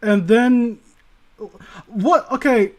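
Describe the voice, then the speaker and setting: A man's voice: extremely high pitch, extremely slow. A man casually reading aloud and commenting, sardonic and prone to profane asides. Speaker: host reading and critiquing internet horror stories.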